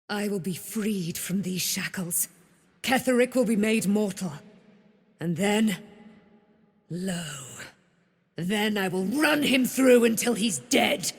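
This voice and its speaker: Regal female warrior knight for a fantasy game: righteous, vengeful, grand archaic declamation, slow measured delivery. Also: mid-range voice, creaky, slightly rough, no echo, studio quality, exaggerated voice. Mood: imperious. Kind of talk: theatrical fantasy game voice acting.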